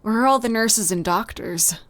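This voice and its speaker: A woman playing an anxious, confused young healer in a dark fantasy audiobook, speaking haltingly. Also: fairly high pitch, dry recording, lightly acted. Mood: uneasy.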